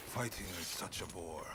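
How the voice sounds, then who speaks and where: A man's voice: low voice, natural voice. An actor playing a shrewd, easygoing samurai statesman in a game cutscene, with a dry, teasing manner. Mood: bored, wry. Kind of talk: video game cutscene acting. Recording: game audio under loud music.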